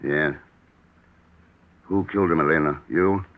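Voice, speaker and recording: A cool deep-voiced spy. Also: male voice, unhurried, narrow, tinny audio. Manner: calm, clipped. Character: cool American male secret agent. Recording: hissy old radio recording.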